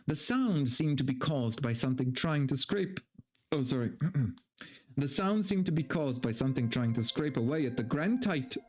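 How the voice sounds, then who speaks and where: A man's voice: medium pitch, expressive, moderate pace, a little mellow. A man streaming a dramatic story reading, with theatrical narration and casual, mock-exasperated asides.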